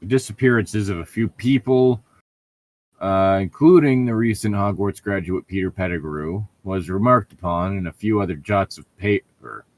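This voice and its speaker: A man's voice: fairly low pitch, normal speed, no room sound. A man reading a story aloud as a co-host, breaking off for sarcastic, irritable, profane commentary and casual banter. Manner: sardonic, irritable, profane heckling.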